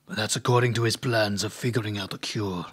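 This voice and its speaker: An actor playing a sly, calculating bear-man henchman in an audio drama, speaking in a measured, conspiratorial way. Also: on the low side, fairly even intonation, a bit bright, husky.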